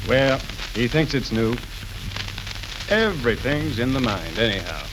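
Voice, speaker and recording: Casting: polished, flattering salesman, medium pitch with lively swings, old crackly radio comedy. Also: a little slow. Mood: sly. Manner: courteous sales patter. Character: polished, flattering car salesman, slyly dishonest. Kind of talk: 1930s radio comedy acting. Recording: crackly, hissy 1930s radio recording.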